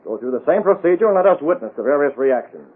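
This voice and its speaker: An actor playing a shrewd, commanding newspaper man in old-time radio drama, questioning in an assertive, deliberate way. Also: on the high side, sing-song, husky. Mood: firm, wry. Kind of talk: 1930s-40s radio drama acting. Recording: muffled, tinny old radio recording.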